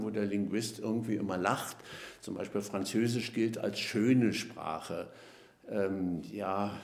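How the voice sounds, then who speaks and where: A man, a linguist, explaining at length in a podcast interview, measured and lecturing, with frequent hesitation fillers. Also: lowish voice, darkish tone, roomy sound. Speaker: linguist guest.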